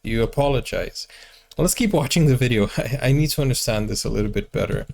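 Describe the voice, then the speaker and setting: A man's voice: mid-range voice, brisk, gravelly. A man hosting a reaction video, chatting casually and asking questions as he thinks aloud.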